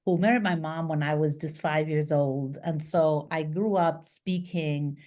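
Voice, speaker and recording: Low-voiced woman on a video call. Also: measured pace. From Argentina. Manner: lively, gestures with her hands, many fillers and restarts. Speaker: guest, business founder. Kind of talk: casual interview on a video call. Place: small home office, indoors. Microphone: video call webcam.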